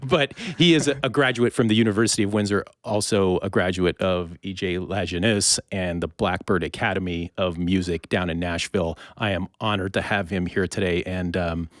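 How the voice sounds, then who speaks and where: A man hosting a podcast in a conversational interview style, speaking haltingly and searching for his words. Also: deep voice, fairly even intonation, no echo, studio quality. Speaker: podcast host.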